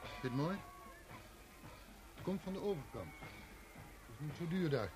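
A man's voice: on the high side, sing-song, measured pace, over music. An actor playing a naive, wistful fisherman's son from overseas, speaking simply and earnestly in a radio play. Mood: shyly proud. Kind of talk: Dutch radio play acting.

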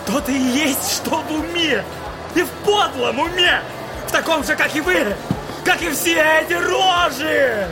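A man's voice: extremely high pitch, expressive, measured pace, shouting, a crowd in the background. A man playing a feverish, tormented, bitterly defiant courtroom witness in a theatrical audio drama, speaking in an agitated, sardonic, erratic way. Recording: dramatic music behind the voice. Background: music.

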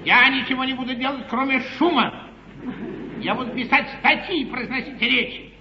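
A man's voice: extremely high pitch, moderate pace. An actor playing a worldly English nobleman and schemer in a 1950s stage comedy, declaiming emphatically and repeating phrases for effect. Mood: indignant, rhetorical. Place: theatre stage, live performance. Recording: noisy, muffled, echoey 1950s theatre broadcast.

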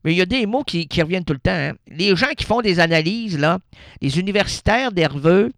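A man's voice: high-pitched, lively intonation, brisk, fairly bright tone, dry recording, studio quality. A man with a Québécois French accent hosting a radio show, rambling through a playful, absurd monologue and answering his own questions. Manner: playful, rambling, talks to himself. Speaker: radio host.

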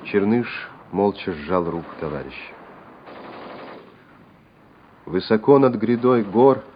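A man's voice: lowish voice, slight background noise. A man narrating a literary radio play in a dramatic storytelling style. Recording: dull lo-fi radio recording over music.

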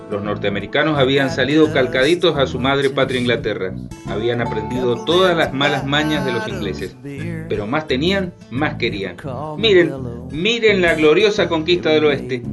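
A man's voice: medium pitch, lively intonation, moderate pace, over music, lightly acted. A man playing a confident, know-it-all explainer, narrating history emphatically in an educational radio drama. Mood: confident, wry. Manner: didactic, emphatic. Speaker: main narrator.

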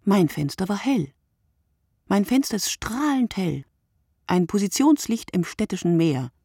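A woman playing a sleepless, lonely writer in a literary radio play, speaking in a measured, contemplative way. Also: mid-range voice, very animated, dark tone, breathy edge, no echo, clean studio sound, full character voice. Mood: wistful, narrating. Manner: measured, contemplative, lyrical delivery.

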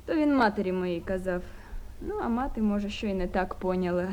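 A woman's voice: mid-range voice, brisk, a little mellow, close to an everyday voice. An actress playing a poor, anxious village mother with a Ukrainian accent, rambling and plaintive in a naturalistic radio drama. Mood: plaintive, apologetic.

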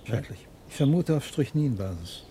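Man acting a solemn literary character, mellow, declamatory, 1960s radio drama, faint outdoor background noise. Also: mid-range voice, animated, normal speed, natural voice. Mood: shaken. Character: male associate of the inspector, solemn, literary-minded.

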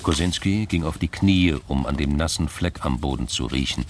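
A man's voice: very deep voice, normal speed, slight background noise. A man reading scripted narration as the storyteller of a German radio crime drama.

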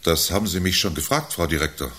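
An actor playing a big, taciturn, deferential male employee in a radio play, terse and reserved with a husky voice. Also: low voice, lively intonation, fast, sharp tone, exaggerated voice. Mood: guarded, defensive.

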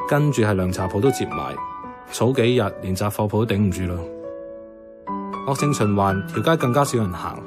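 An actor playing a wry, reflective young tea-restaurant worker in a Hong Kong Cantonese radio drama, narrating and chatting colloquially. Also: male voice, fairly low pitch, close to an everyday voice.

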